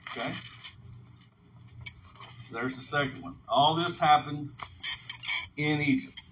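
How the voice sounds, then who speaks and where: A man's voice: fairly high pitch, takes it slowly, fairly bright tone. A man giving an informal Bible lesson as a teacher, reading aloud and explaining in an instructive way. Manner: explanatory, often checks in with a short "okay?". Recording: dull lo-fi sound, faint background noise.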